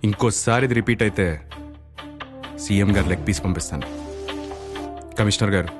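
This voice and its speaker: A man's voice: fairly low pitch, fairly quick, lightly acted. An actor playing a resolute young male kidnapper with ideals in film dialogue, speaking in a firm, articulate way over music. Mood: cold, threatening. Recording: film soundtrack with background music. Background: film score music.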